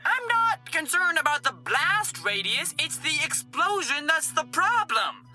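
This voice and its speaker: A woman's voice: high voice, very animated, unhurried, pushed and bright, faint music behind, heavily acted. A woman playing a defiant, sarcastic, foul-mouthed occult researcher with a blunt, exasperated manner, in audio drama style. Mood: anxious, arguing. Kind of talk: dramatic audio roleplay acting.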